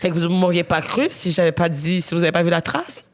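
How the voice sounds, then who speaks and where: A young woman with a Quebec French accent, co-hosting a podcast as a lively storyteller who laughs often. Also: on the low side, quick pace, noisy background. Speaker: podcast co-host. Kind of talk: casual chat.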